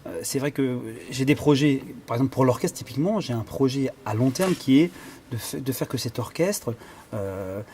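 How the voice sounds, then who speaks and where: A man's voice: medium pitch, expressive, moderate pace, dark tone, slight background noise. A man who conducts and plays cello, answering interview questions in a thoughtful way, with many fillers and restarts as he searches for words. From Iran. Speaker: conductor and cellist.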